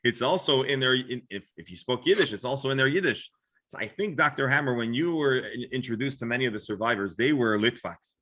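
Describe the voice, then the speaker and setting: A man's voice: mid-range voice, animated, brisk, a bit bright. A man with an American accent, a rabbi explaining things informally in a class discussion, often restarting and qualifying his sentences. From Florida, United States. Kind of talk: informal religious lecture with discussion.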